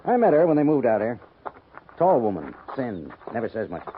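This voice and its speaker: Slightly muffled 1950s radio with a faint hiss frames a concerned army major. He speaks with conversational authority and a lively, varied pitch as he deliberates. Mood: concerned, thoughtful.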